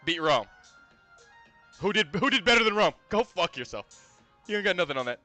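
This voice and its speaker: A man streaming a game, talking casually with loud, profane, excitable outbursts. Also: high-pitched, brisk, faint music behind. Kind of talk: casual live gameplay commentary.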